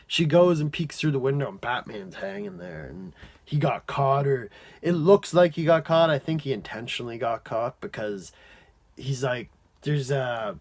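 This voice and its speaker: A man's voice: medium pitch, creaky, a little mellow. Casual, rambling man reviewing off the cuff. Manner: rambling, halting delivery with frequent "like" and trailing sentences. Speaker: amateur episode reviewer.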